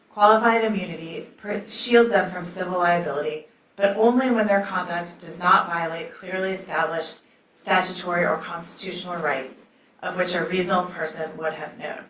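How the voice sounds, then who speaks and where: A soft-spoken woman judge addresses a lecture hall, pausing on ums as she sets out a legal doctrine. Her voice is bright and somewhat low. The sound is echoey and picked up from a distance. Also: slight background noise. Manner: soft-spoken, many ums, reads aloud from a ruling. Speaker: judge and debate moderator. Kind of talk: opening remarks at a law school debate.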